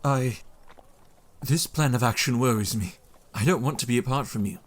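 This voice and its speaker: A man's voice: mid-range voice, normal speed, close to an everyday voice. A man playing an earnest devoted young husband. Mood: tender. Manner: earnest, tender, soft-spoken. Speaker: narrator of his own journal. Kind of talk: audio drama acting.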